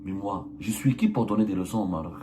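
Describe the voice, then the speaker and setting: A man's voice: fairly low pitch, fast talker, dark tone, slight background noise. A man, a journalist and channel host delivering a political commentary monologue, emphatic and full of rhetorical questions. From Algeria. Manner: hesitant fillers and restarts.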